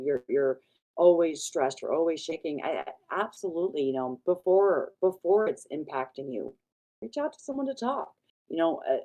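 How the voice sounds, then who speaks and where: A woman's voice: deep voice, warm tone, low-quality recording. A woman, sounding like a counselor, giving reassuring and emphatic advice on a discussion panel.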